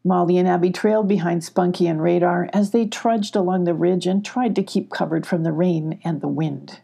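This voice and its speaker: A woman's voice: fairly low pitch, steady tone, normal speed, creaky, mellow, husky. A woman reading a children's story aloud as an audiobook narrator.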